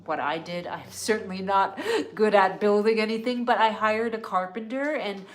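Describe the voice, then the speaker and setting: A woman's voice: mid-range voice, moderate pace. A middle-aged woman from North America hosting a casual live wellness Q&A, chatty and earnest as she reads out viewer questions. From born in Canada, grew up in California. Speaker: wellness livestream host.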